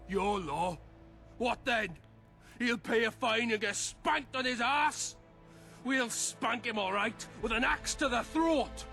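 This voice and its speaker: A belligerent male peasant from a fantasy game, with a high, piercing voice. He talks coarsely, like a rustic troublemaker, with faint music behind. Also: slow pace, pushed and bright, heavily acted. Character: angry, coarse peasant rabble-rouser, a man. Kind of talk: video game dialogue acting.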